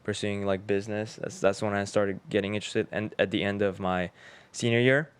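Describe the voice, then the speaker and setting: A man in his twenties from Uzbekistan, a Russian native speaker, talking as a podcast guest in a relaxed, rambling way with many fillers. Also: lowish voice, fairly quick, clear, resonant tone. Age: 20s. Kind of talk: casual interview chat.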